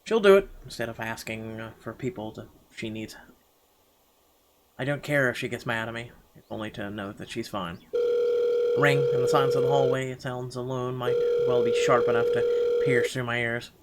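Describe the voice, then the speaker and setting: A man's voice: medium pitch, normal speed. A man reads first-person story text from a game aloud. His voice sits in a medium register, with a dramatic storytelling delivery that halts and stumbles at times. Faint noise runs behind him.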